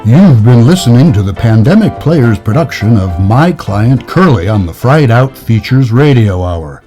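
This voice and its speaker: A man playing a formal old-time radio announcer, reading credits and sponsor copy in a measured way. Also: medium pitch, big pitch swings, warm tone, soft background music, close to an everyday voice. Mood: formal, polished.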